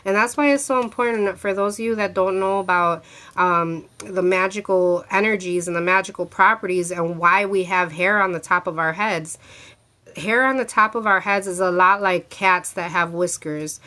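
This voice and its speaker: Woman talking kinda low, stuffy from a cold, rambly and chatty, lots of ums. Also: moderate pace, pushed and bright. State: congested from a cold, sore throat. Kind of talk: casual talk to camera showing a handmade ring.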